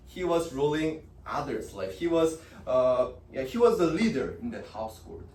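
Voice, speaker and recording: A man preaching a sermon as a youth pastor in a church, talking casually, then punching key phrases loudly or dropping to a near hush. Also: medium pitch, normal speed. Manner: lively preaching with sudden loud emphases and quiet drops; conversational, with fillers and restarts. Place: church hall. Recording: echoey room from a distance.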